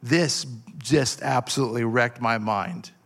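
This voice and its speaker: A man's voice: mid-range voice, gravelly, a bit bright. Male pastor, 40s, fervent sermon delivery, stop-start phrasing, mid-range voice. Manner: impassioned, halting restarts with frequent fillers.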